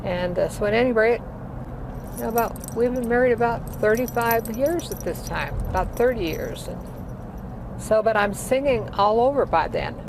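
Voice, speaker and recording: A woman's voice: fairly high pitch, lively intonation, moderate pace, background noise. A woman in her eighties, a singer, telling her life story in a chatty, rambling interview, with many restarts. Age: 80s. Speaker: guest, singer.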